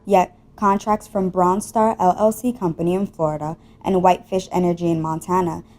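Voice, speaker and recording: A woman's voice: on the low side, a little mellow. A young adult woman delivering scripted news narration as a student television reporter.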